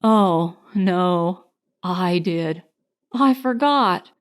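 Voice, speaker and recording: A woman voicing a slow-witted, rueful, honey-loving storybook bear in a murmuring, singsong way. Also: lowish voice, animated, clean tone, voice pushed down. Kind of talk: storybook character voice.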